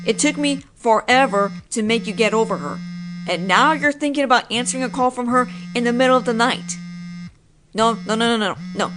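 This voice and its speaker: Woman voicing a possessive roommate. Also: fairly high pitch. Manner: frustrated, insistent, teasing. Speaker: voice actress playing a possessive roommate. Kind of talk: scripted one-sided audio roleplay.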